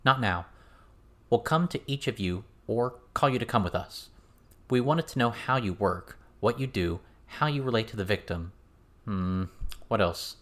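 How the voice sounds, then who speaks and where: A man's voice: on the low side, lively intonation, moderate pace, a bit bright. A man voicing a serious, blunt teenage girl with a firm, questioning manner in an audiobook. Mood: firm, businesslike.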